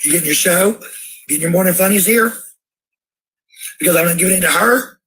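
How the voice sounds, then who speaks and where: A woman with a sharp, forceful edge to her voice, speaking to stream viewers and arguing at home. She is blunt and confrontational and swears freely. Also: lowish voice, fairly quick, breathy edge, rough recording. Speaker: livestreamer and parent. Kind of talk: heated argument and ranting to stream viewers.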